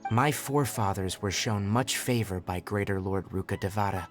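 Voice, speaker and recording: An actor playing a composed, knowledgeable young male forest ranger in a video game, speaking in an articulate, measured and formal way. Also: fairly low pitch, lightly acted. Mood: composed, explanatory. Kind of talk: scripted video game voice acting. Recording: background music under the voice. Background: game music.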